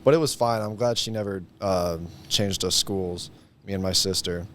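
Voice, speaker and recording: Lowish-voiced American teenage guy, faint noise. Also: moderate pace, fairly bright tone. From Iowa, United States. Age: late teens. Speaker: high school senior guest. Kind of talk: casual chat.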